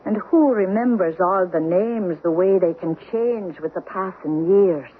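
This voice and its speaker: A woman's voice: on the low side, a little slow, slight background noise, natural voice. An actress playing a fey, insistent Irishwoman with an Irish accent in an eerie radio drama. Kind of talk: 1970s radio drama acting. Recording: muffled narrow old radio broadcast.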